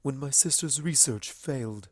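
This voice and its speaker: A man voicing a regal dark mare princess in a storybook reading, solemn and earnest, with formal, measured speech. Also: mid-range voice, dry recording, studio quality, close to an everyday voice. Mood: regretful.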